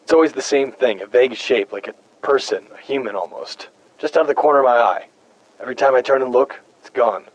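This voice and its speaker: A man's voice: mid-range voice, a little fast, lo-fi sound, lightly acted. Man playing a weary, haunted stranded survivor. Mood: uneasy, brooding. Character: weary, haunted human survivor stranded decades on alien planet. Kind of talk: dramatic audio-log monologue for a video game.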